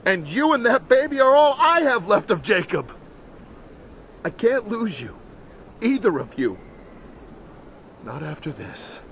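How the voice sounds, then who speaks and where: High-voiced, insistent grieving man. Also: sing-song, unhurried, creaky, long pauses, a bit bright, slight background noise, heavily acted. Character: worried, insistent brother-in-law grieving his brother. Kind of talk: video game drama acting.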